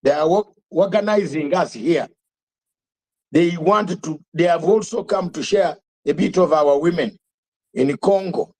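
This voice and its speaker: A man's voice: a little high, a little slow, darkish tone. An older man hosting a live online talk show, speaking emphatically with repetitions and rhetorical questions. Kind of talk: fiery live commentary.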